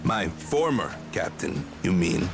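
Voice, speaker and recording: An actor playing a dry-humored defecting pirate underling in a video game, with a gravelly voice. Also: male voice, deep voice, big pitch swings, takes it slowly, over music, full character voice.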